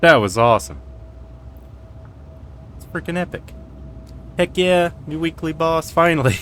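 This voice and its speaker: A man's voice: a little high, lively intonation, unhurried, fairly bright tone. A man doing casual game commentary as a streamer, chatting in an informal, rambling way. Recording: faint game music behind.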